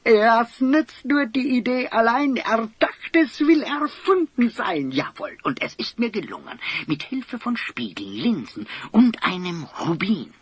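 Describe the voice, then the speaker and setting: An actor playing an eccentric, kindly old scholar and inventor in an audio drama, theatrical and pompous, with hems and chuckles. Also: male voice, extremely high pitch, very animated, slow pace, hardly any pauses, breathy edge, no echo, full character voice. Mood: proudly excited. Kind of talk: German audio drama acting.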